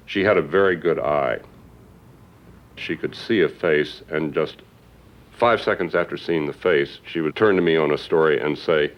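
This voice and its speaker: A man recalling a colleague in a documentary interview, with deliberate, emphatic phrasing. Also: rumbling low, dull audio. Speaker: magazine journalist.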